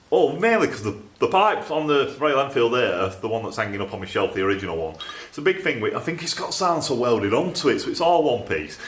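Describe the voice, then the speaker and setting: Low-voiced Northern English man, echoey workshop. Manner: informal, rambling, thinking aloud. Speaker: exhaust fabricator and video presenter. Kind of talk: casual workshop talk to camera. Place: indoors in a small cluttered workshop. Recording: roomy, echoey workshop sound.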